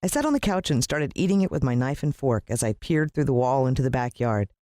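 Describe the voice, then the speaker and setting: An American woman narrating a children's story in an expressive, dramatic storytelling manner. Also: very deep voice, quick pace, dry recording, studio quality. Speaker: audiobook narrator.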